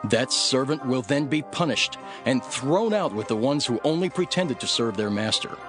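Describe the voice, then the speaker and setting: A man reading scripture as a solemn, dramatic narration, measured and earnest, with deliberate phrasing. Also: mid-range voice, animated. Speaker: Bible narrator. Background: background music.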